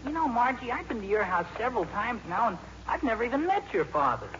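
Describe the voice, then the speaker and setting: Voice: medium pitch, big pitch swings, fast talker, fairly bright tone. A performer playing an eager, awkward, lovestruck teenage boy with a blunt gee-whiz manner in a 1950s radio drama. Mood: curious, awkward. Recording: muffled, noisy 1950s radio recording.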